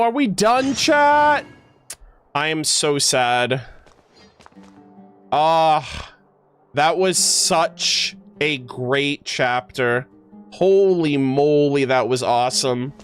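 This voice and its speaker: A man streaming a game and reacting casually, slangy and exclamatory, chatting to his viewers. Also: on the high side.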